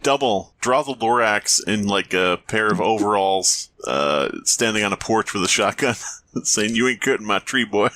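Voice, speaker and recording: A man's voice: fairly low pitch, lively intonation, normal speed, sharp tone. A man co-hosting a podcast with irreverent, giggly, playful quips in casual comedic banter. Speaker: podcast co-host.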